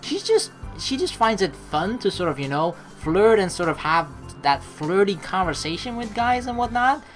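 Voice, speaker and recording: A woman's voice: medium pitch, sing-song, moderate pace, few pauses, faint music behind. A young woman doing casual, sweary let's play commentary and reading a visual novel's narration aloud. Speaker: let's player.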